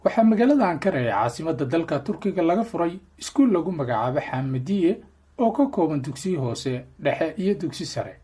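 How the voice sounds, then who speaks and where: News reporter voiceover, husky and mellow, calm formal read. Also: medium pitch. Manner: measured, formal. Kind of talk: scripted news narration.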